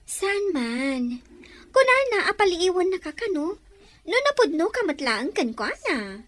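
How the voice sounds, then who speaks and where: A woman's voice: very high-pitched, very animated, unhurried, a bit bright, heavily acted. A woman playing a playful young sister who teases her older brother in an audio drama.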